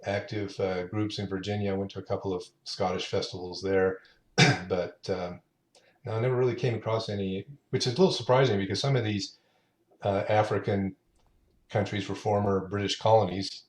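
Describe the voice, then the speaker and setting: A man in his late fifties, the guest on a podcast, speaks in a lowish voice. He talks in an unhurried, halting way, often restarting his sentences, and the room around him rings with a noticeable echo. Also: steady tone, a little hiss or hum. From Utah. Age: 50s. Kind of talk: casual interview chat. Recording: roomy echo.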